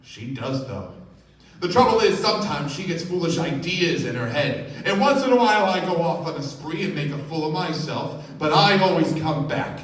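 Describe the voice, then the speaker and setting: A blustering, possessive husband acted by a man in a radio play, his fairly high male voice swinging widely with scorn. It is picked up from a distance in a very echoey space. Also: expressive, normal speed, fairly bright tone. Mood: angry, insistent. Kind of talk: live radio play acting. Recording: echoey stage, distant microphone.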